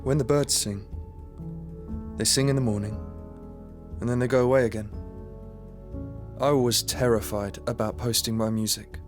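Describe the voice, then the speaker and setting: A man's voice: mid-range voice, fairly even intonation, moderate pace, long pauses, over music, natural voice. An actor playing a young man with schizophrenia, a nervous, stammering musician, in a radio drama. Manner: stammering, hesitant restarts.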